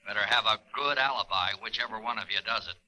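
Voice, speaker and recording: Threatening police lieutenant, very sharp hard voice, lowish, old radio drama. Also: male voice, fast, low-quality recording. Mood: stern, threatening. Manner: hard-boiled, menacing. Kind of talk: 1950s radio crime drama.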